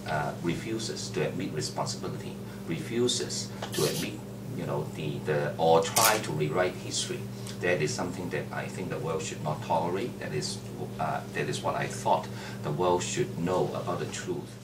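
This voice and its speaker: A man earnestly explaining his views, pausing often with fillers. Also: extremely low pitch, heavy background noise. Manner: earnest, hesitant, many fillers. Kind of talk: casual spoken explanation. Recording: echoey room, far from the mic.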